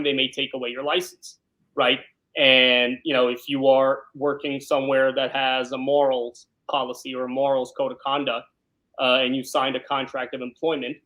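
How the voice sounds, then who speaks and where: A man with a mid-pitched voice answers as an interview guest. He is talkative and explanatory, speaking conversationally and often tagging points with a short check for agreement. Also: a bit bright, poor audio. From born in Ukraine, grew up in South Brooklyn, New York. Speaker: criminal defense lawyer, interview guest.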